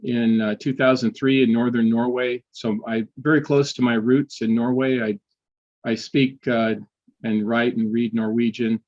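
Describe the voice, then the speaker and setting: An older man, a history professor, telling personal stories in a casual interview, often restarting sentences and filling pauses. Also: medium pitch, little pitch movement, a little mellow, lo-fi sound. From United States. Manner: halting, many restarts and fillers.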